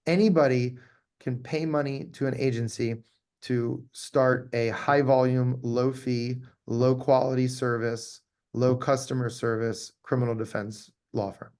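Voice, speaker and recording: A man's voice: medium pitch, a little mellow. A man speaking conversationally as an interviewed law firm owner, emphatic and often repeating his key phrases.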